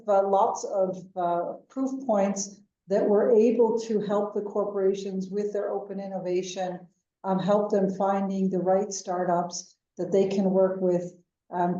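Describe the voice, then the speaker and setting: A woman's voice: medium pitch, dark tone. American woman on an echoey remote call. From United States. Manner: measured, with frequent ums and uhs. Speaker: venture capital executive, guest. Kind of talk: interview answers. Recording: distant, echoey, dull remote call audio.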